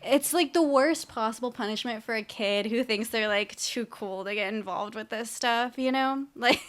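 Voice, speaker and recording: A woman's voice: on the high side, normal speed, fairly bright tone. A woman co-hosting a podcast, telling stories and recapping television in a casual, conversational way. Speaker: podcast co-host.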